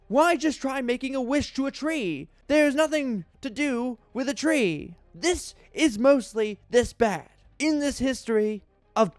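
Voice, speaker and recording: A man's voice: very high voice, dry recording. A man hosting a mock dramatic reading in a theatrical, exaggerated tone of outrage. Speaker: YouTube host reading a list.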